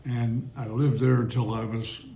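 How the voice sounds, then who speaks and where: An elderly man in his nineties recalls his youth at his own unhurried pace, drifting off on side stories and chuckling now and then. His voice sits at an ordinary middle pitch. The room around him is noticeably echoey. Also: level tone, mellow. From Maryland, US. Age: 90s. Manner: rambling, digressive, chuckles while recalling. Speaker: interviewee. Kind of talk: oral history reminiscence.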